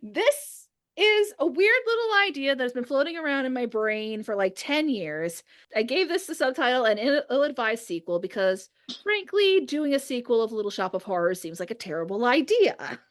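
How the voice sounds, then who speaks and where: A woman's voice: high voice, very animated, normal speed. A woman hosting a podcast, chatting casually with friends and reading out a script she wrote. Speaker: podcast host, writer and script reader. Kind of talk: casual chat with friends before a script read-through.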